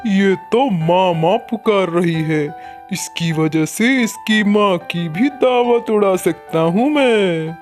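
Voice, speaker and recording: A scheming male kite from a children's cartoon, acted by a woman, greedy and falsely kind. The delivery is slow and measured at a middle pitch, as if plotting each step. Light music plays underneath. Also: darkish tone, natural voice. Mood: sly, greedy. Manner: sly, muttering plans to itself. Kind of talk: Hindi cartoon character acting.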